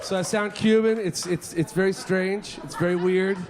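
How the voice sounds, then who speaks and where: Loud high-voiced stand-up comic, live crowd. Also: male voice, normal speed. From Colombia. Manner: loud, animated, switching into Spanish. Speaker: stand-up comedian. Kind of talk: stand-up comedy set. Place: comedy club stage. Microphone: handheld stage mic. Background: audience laughter.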